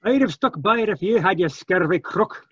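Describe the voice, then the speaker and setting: An actor voicing an excitable, cornered old Scottish man with a raspy voice in a 1940s radio drama. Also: sits high, moderate pace, no echo, heavily acted. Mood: indignant. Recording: dull, dry radio recording.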